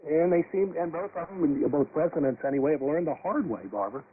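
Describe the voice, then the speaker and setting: A man's voice: fairly high pitch, a little fast. An American man hosting a radio panel, putting questions to guests in a conversational interviewing style. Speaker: radio panel show host. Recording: muffled, faintly noisy shortwave radio broadcast.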